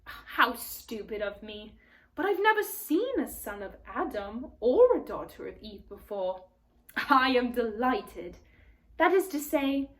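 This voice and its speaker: A woman's voice: fairly high pitch, sing-song, slow, a bit bright, heavily acted. A woman voicing a friendly, easily flustered male faun from a storybook, speaking politely and formally with hesitant repetitions. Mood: delighted, apologetic. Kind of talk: storybook character read aloud.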